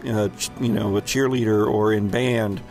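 A man hosting a tabletop game as game master, improvising descriptive, dramatic narration in a halting way. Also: fairly low pitch, steady tone, a little mellow, soft background music.

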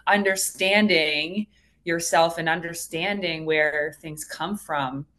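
A woman's voice: lowish voice, slow pace, a bit bright. A woman speaking as a therapist guest in a casual interview, earnest, informal and reflective. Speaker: hypnotherapist guest.

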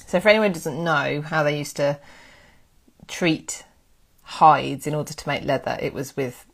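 A woman hosting a casual history live stream, chatty and excitable, thanking viewers by name. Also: deep voice, moderate pace, vocal fry. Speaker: history livestream host.

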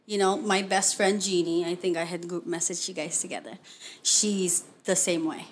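A woman's voice: on the low side, fairly even intonation, moderate pace, slight background noise. A woman hosting an interview, asking questions in a chatty, encouraging way. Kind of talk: conversational interview.

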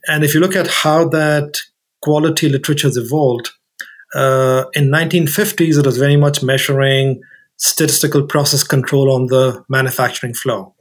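A man's voice: medium pitch, fairly even intonation, normal speed. A man speaking as an expert interview guest, explaining things methodically and setting out his points in order.

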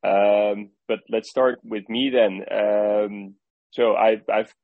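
A young man with a Dutch accent, a startup founder answering interview questions in a halting way, often repeating words as he thinks. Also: on the low side, steady tone, dry recording, phone-quality sound. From Netherlands.

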